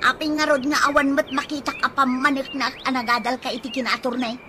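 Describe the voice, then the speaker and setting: A woman with an Ilocano accent playing a bossy, nagging wife with a sharp tongue in a radio comedy drama. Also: sits high, flat delivery, a little fast, lightly acted. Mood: scornful. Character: bossy, scolding wife stirring up an inheritance feud. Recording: noisy ambience with chirping birds.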